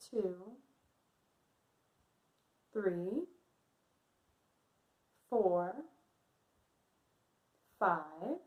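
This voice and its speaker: A woman's voice: mid-range voice, dark tone. A woman teaching young children in a read-aloud lesson, encouraging and often checking in with her listeners. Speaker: kindergarten teacher. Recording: echoey room sound.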